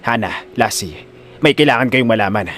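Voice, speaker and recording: A scheming father in an audio drama, played by a man. He sounds grave and tightly angry. His words come out deliberate and tense. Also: fairly low pitch, expressive, fairly bright tone, pitched below the performer's own voice. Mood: grim, angry. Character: grim, angry scheming father bearing bad news. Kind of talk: audio drama character acting.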